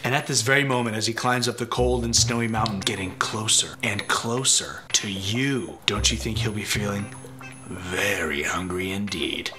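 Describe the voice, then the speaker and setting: A man's voice: lowish voice, moderate pace, sharp tone, soft background music. A man in his thirties reading a picture book aloud with theatrical, playful suspense. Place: living room, on a couch.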